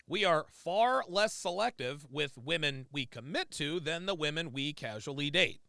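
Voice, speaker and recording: A man's voice: fairly high pitch, gravelly, bright, forceful tone, dry recording, studio quality. A man streaming live, reacting to a clip with emphatic, repetitive ranting commentary.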